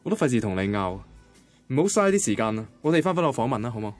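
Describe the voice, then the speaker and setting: An actor playing an anxious, defensive young boyfriend from a Cantonese radio drama, conversational and grumbling, with a Hong Kong accent. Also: male voice, mid-range voice, big pitch swings, quick pace, natural voice. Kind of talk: radio drama first-person narration and dialogue. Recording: guitar music behind the voice. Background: guitar music.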